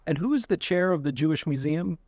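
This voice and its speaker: A man's voice: on the high side, lively intonation, fairly quick, darkish tone, dry recording. Male interviewer, casual and dry-sounding.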